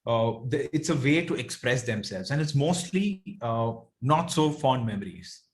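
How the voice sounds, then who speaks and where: A man with a South Indian accent talks as a guest in an interview. His voice sits at a medium pitch. He is reflective and informal, often checking in with the listener as he explains. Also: expressive, a little slow. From Bengaluru, India. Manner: reflective, checks in with "you know" and "right". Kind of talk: casual interview conversation.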